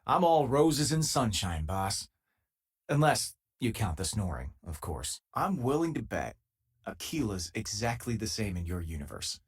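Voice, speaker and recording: A man playing a loyal, easygoing former miner and spaceship crewmate in a video game, with a husky, casual, wry delivery. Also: on the low side, big pitch swings, a little slow, full character voice. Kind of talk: video game companion dialogue.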